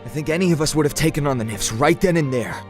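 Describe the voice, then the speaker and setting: A man's voice: fairly high pitch, fast talker, faint music behind, natural voice. A man playing a loyal, hot-tempered young man in an audio drama, speaking in a casual, slangy way. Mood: angry resolve. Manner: casual, slangy first-person storytelling. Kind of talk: audio drama narration and dialogue.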